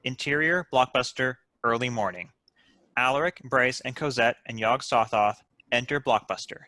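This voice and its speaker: A man reads screenplay stage directions in a crisp narrator role. His voice is mid-pitched and very bright, recorded dry and close. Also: moderate pace, no room sound. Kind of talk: scripted narration.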